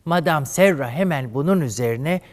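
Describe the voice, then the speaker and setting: A man's voice: on the high side, expressive, normal speed. A man hosting a comedy show, telling a fairy tale in a flamboyant, coy campy way. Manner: flamboyant, coy, addresses audience as "lambs". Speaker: host and storyteller. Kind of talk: campy comic storytelling. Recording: studio audience and music behind.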